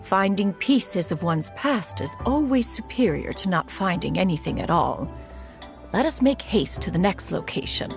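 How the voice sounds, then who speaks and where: A woman voicing a stately, formal immortal woman who speaks in measured, lofty phrases in a video game. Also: on the low side, animated, creaky, soft background music.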